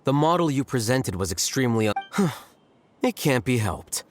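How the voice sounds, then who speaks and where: A man playing a composed, logical scholar hero in a video game, speaking in a measured, detached way. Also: medium pitch, big pitch swings, a little hiss or hum. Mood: cool, explaining. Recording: game music behind the voice.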